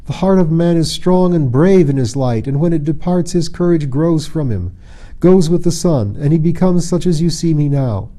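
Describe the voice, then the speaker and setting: A proud young fairy-tale man, acted out by a male reader, frightened and sulky. He declaims with indignation, his pitch lifted above a very mellow, dark timbre. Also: moderate pace, lightly acted. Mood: rhapsodic.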